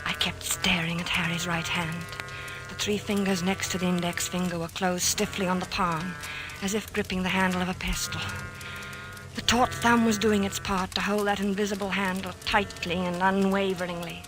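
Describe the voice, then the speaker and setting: An actress playing a worried, pleading wife in an old-time radio mystery, with dramatic, earnest delivery. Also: female voice, lowish voice, little pitch movement, normal speed, music in the background, close to an everyday voice, rough recording. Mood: haunted narration. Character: worried, pleading wife recalling her husband's strange death.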